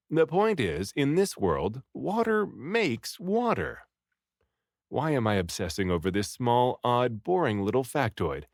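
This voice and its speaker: A man narrates an adventure story with lively, swooping intonation. He reads expressively, playing up the first-person hero's words. The recording is dry and close, with little room sound. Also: medium pitch, dry recording. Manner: animated, dramatic, acts out the first-person hero. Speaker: audiobook reader. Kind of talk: expressive scripted story narration, read aloud.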